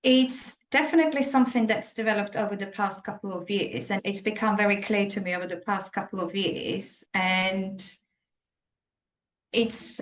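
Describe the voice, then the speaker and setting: Reflective woman from Slovakia, echoey. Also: medium pitch. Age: 40s. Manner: reflective, halting, frequent self-corrections. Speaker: guest. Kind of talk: personal story in an interview. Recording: echoey muffled room.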